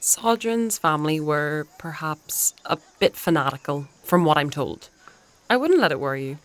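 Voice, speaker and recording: A woman playing a composed fantasy priestess and mentor in a dark audio drama, measured and articulate, with playfully dry wit. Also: deep voice, animated. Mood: gentle, pragmatic.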